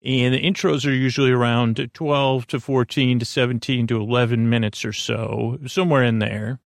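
A man hosting a bedtime podcast, talking in a creaky voice and wandering through tangents with many fillers. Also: mid-range voice, fairly even intonation, normal speed, no echo, studio quality. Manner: meandering, full of tangents and fillers. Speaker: podcast host. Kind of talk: rambling bedtime podcast intro.

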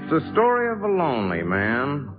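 Loud trumpet music plays behind a wistful jazz pianist telling a story. His folksy, laconic narration rises and falls expressively, through muffled 1950s radio sound. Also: fairly high pitch, wildly swooping pitch, normal speed. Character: wistful, laconic dance-band piano player narrating. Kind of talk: 1950s radio drama first-person narration.

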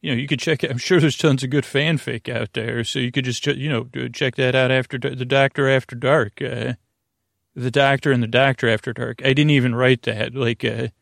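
A man hosting a sleep podcast in a meandering, halting bedtime monologue, full of restarts and whimsical, punning tangents, with a gravelly voice. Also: medium pitch, steady tone, a bit bright, no room sound, clean studio sound. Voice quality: creaky, gravelly. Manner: whimsical, punning, silly asides.

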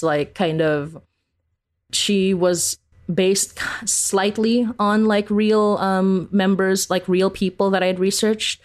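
Chatty, lower-voiced woman in interview. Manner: peppers talk with "like", chuckles while explaining. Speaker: interview guest, audio fiction creator. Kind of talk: casual, conversational interview answers.

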